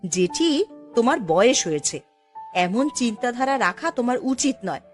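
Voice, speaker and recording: A woman voicing a gentle, respectful, earnest young village woman in a cartoon, polite and pleading. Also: a little high, expressive, moderate pace, soft background music, lightly acted. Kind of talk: Bengali cartoon voice acting.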